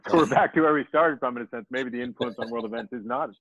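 Interview host on a tinny call. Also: male voice, mid-range voice, fast talker, fairly bright tone. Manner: friendly, rambling questions with restarts. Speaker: podcast host. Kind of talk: interview questions. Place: room with bookshelves. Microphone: video call. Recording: dry tinny phone-like call.

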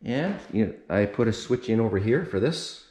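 A man's voice: fairly low pitch, expressive, normal speed, a little mellow. A middle-aged man casually walking through a home renovation, explaining the electrical work with lots of fillers and restarts. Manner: unscripted, many fillers and restarts, pointing things out. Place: empty room of a mobile home under renovation, bare drywall. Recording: echoey bare room.

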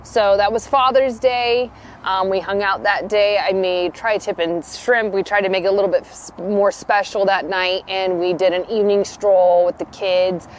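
A woman's voice: mid-range voice, steady tone, moderate pace, a little hiss or hum, low-quality recording. A woman in her thirties co-hosting a family travel vlog, speaking with upbeat enthusiasm while seated outdoors beside a travel trailer. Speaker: vlog co-host. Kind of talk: casual travel chat. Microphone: camera at a distance.

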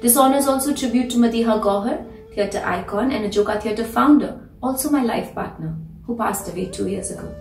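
A young woman reads a prepared message aloud. Her voice sits a little high and sounds distant from the microphone, with roomy echo around it. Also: brisk. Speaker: actor reading a message. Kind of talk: scripted message read aloud. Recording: roomy, distant mic, music behind.

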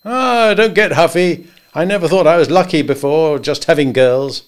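A man's voice: fairly high pitch, moderate pace, clean tone, pitched above the performer's own voice, close to an everyday voice. A man voicing a jovial older male draft-board official who talks in a breezy, coaxing way. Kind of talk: audiobook character voice.

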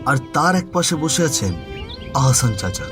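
A man tells a horror tale at a slow, deliberate pace. His delivery is dramatic and suspenseful, and clear music runs underneath. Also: mid-range voice, very animated. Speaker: narrator. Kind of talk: dramatic horror storytelling narration. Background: background music.

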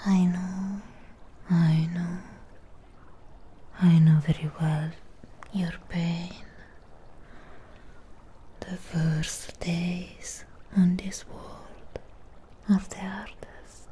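Woman as a slow, whispering undead monster girl. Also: fairly low pitch, flat delivery, mellow, a little hiss or hum. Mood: brooding, consoling. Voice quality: breathy close-up whisper. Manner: tender, slow, deliberate, halting short phrases. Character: Frankenstein's creature girl, possessive, adoring, comforting her mate. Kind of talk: soft-spoken ASMR roleplay, whispered. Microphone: close ASMR microphone.

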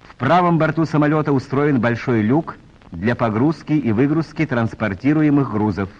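A man reading scripted narration in a formal, measured announcer style, as in a 1940s training film. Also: medium pitch, a little mellow. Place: voice-over recorded for a film. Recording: muffled vintage 1940s film soundtrack.

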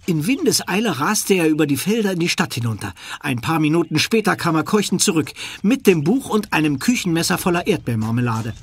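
A man narrating a children's fantasy radio play in a measured, suspenseful way. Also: a little high, big pitch swings, breathy edge, heavily acted. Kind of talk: scripted narration. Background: music.

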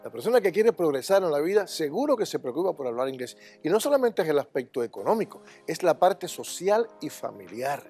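A man's voice: on the high side, very animated, brisk, few pauses, darkish tone, breathy edge, soft background music. An older man speaking as a language school director in a TV studio interview, with an emphatic, confident, instructive way of talking. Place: TV studio set.

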